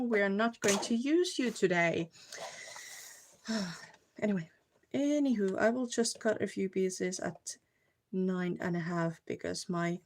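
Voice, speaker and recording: Slow-talking Finnish-accented woman crafting. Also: medium pitch, slight background noise. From Finland. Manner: chatty, searches for words, talks to viewers while working. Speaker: card-making streamer. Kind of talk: casual live crafting chat. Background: clicking crafting tools.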